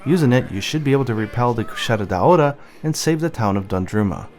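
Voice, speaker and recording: A man's voice: medium pitch, animated, quick pace, a little mellow. A man giving light explanatory narration of a video game's story. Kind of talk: light explanatory narration over game cutscenes. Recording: music behind the voice. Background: game music.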